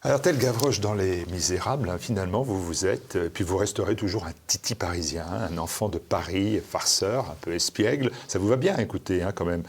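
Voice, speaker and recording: Low husky male interviewer. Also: fairly quick, hardly any pauses. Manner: prompting, leading questions, hesitant fillers. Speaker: interviewer host. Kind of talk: interview questions.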